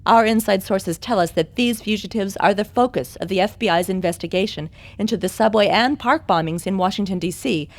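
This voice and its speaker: An actress playing a formal, measured TV news reporter reading a scripted bulletin in a video game. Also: female voice, medium pitch, a little hiss or hum, close to an everyday voice. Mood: formal, grave.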